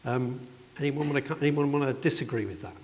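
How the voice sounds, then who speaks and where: A man with a medium-pitched voice chairs a panel in an informal style. He is heard in a reverberant hall, with noticeable noise and clicks in the recording. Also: fast talker. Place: reverberant indoor event hall.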